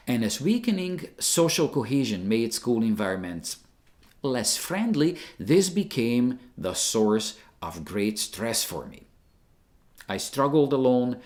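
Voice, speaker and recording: An older man from Hungary, a retired teacher giving an emphatic, opinionated scripted narration. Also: fairly low pitch.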